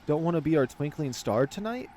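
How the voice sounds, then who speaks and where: A man's voice: a little high, lively intonation, fairly quick, a little mellow, voice pushed up, natural voice. A man voicing a mischievous young male lion scout with a teasing, playful manner in a visual novel.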